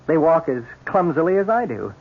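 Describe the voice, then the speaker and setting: A man's voice: a little high, big pitch swings, normal speed. A man acting the part of a bitter, self-pitying, jealous lame husband in a 1970s radio drama, with a sarcastic, wounded manner. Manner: sarcastic, wounded, theatrically pointed. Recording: tinny, noisy 1970s radio broadcast.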